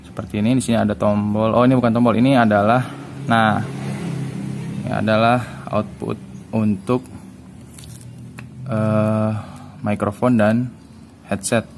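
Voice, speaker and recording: A man's voice: on the low side, little pitch movement. Informal man over noisy background. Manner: informal, halting, searching for words as he shows items. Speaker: product reviewer. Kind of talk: casual unboxing and hands-on review.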